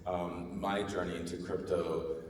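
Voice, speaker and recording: Deep-voiced Bulgarian man in an echoey hall. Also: level tone, a little slow. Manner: earnest, self-deprecating, many restarts. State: losing his voice. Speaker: panelist, marketing executive. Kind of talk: conference panel talk. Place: large conference hall stage. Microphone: handheld mic. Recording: echoey hall, distant mic, faint noise.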